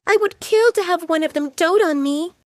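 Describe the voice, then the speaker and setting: A woman's voice: extremely high pitch, normal speed, no room sound, voice pushed up. A woman voicing a playful, dreamy twenty-year-old kitchen worker in an audio drama, with a teasing, gushing, sing-song manner. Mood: excited, gushing. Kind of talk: audio drama character acting.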